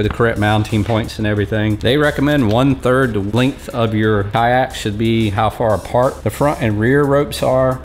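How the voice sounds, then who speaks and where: A man in his forties giving a relaxed, folksy step-by-step how-to walkthrough in a large metal workshop, talking close to a handheld camera. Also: lowish voice. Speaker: fishing channel host. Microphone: handheld or head-mounted camera close up.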